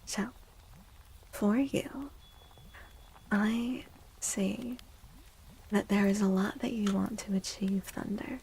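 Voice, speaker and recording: A woman speaking softly and breathily, often near a whisper, in a gentle ASMR livestream style. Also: medium pitch, steady tone, takes it slowly, creaky, pauses often, slight background noise, poor audio. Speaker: streamer and tarot reader. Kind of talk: soft-spoken ASMR livestream chat.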